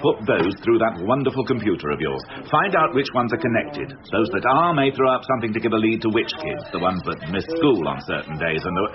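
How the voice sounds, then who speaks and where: A man's voice: fairly low pitch, sing-song, fairly quick, few pauses, muffled recording, a crowd in the background. An actor playing an exasperated, sardonic male police inspector in a radio drama, with a pointed, sarcastic, questioning manner. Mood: stern, instructing.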